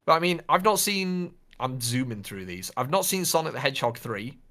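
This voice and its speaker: A man talks as a podcast co-host in a casual, conversational way, at a middling pitch. He is informal and opinionated, putting plenty of emphasis on what he thinks. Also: animated, normal speed, sharp tone. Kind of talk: casual chat about films and games.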